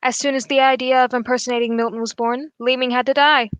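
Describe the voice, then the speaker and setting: A woman plays a shrewd gentleman detective in an old-style radio drama. The voice is high and recorded close and dry. The delivery is composed and formal, setting out each point in measured phrases. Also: flat delivery, clean tone, no room sound. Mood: confident, accusing.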